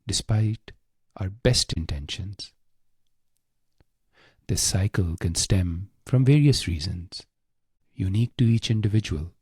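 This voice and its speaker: A man leads a guided meditation in a low, soft-edged, mellow voice. He speaks very slowly and deliberately, with a hushed, solemn delivery and long pauses. The sound is close and dry. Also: slightly rough, no room sound. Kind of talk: slow scripted guided meditation.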